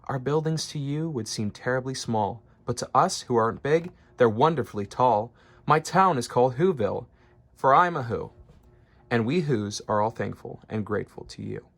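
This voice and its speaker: A man's voice: medium pitch, expressive, moderate pace, creaky, fairly bright tone. A man voicing a tiny, polite, grateful mayor of minuscule people in a storybook, courteous and earnest. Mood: proud, friendly.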